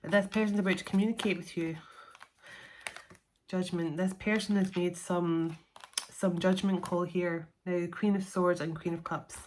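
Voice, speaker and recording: A woman's voice: fairly low pitch, steady tone, normal speed, a little mellow, a little hiss or hum. A middle-aged woman doing a solo tarot reading to camera, chatty and self-deprecating, laughing often between cards. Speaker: tarot reader.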